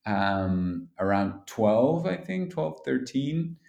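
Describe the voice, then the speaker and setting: A man's voice: medium pitch, sing-song, slow pace, vocal fry, darkish tone, roomy sound. A man from Belgium, a musician guest on a podcast call, chatting casually with lots of hesitations and restarts. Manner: hesitant, many fillers and restarts. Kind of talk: casual interview chat. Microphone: phone call.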